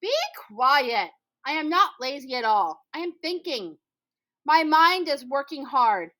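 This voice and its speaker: Folktale spider, male, played by a woman reading aloud, high voice, lazy schemer who boasts and gives emphatic orders. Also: expressive, measured pace, sharp tone, heavily acted. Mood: indignant. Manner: bossy and dramatic, with emphatic commands.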